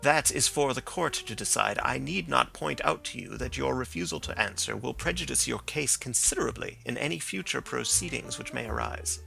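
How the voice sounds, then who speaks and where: A stern coroner presses his questions in a formal manner, as a male narrator reads him. The gravelly, crackling voice carries a forceful brightness. It belongs to an audiobook of a classic story. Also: mid-range voice, lively intonation, normal speed, hardly any pauses, soft background music, heavily acted.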